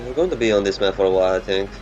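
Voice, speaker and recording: Muttering low-voiced male gamer. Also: darkish tone, highs cut off. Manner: muttering, halting, thinking aloud. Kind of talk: gameplay commentary. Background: game music.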